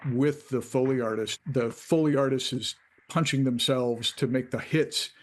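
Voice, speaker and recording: Male interviewee, medium pitch, casual explanation, faint background noise. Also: normal speed. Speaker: interviewed sound effects expert.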